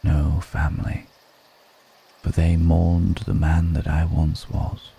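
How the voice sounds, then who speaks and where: A man playing a brooding, melancholic undead vampire in an intimate ASMR roleplay, speaking in a measured, poetic murmur with pauses between phrases. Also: level tone, exaggerated voice. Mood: solemn, melancholic. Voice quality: very deep, dark, creaky murmur.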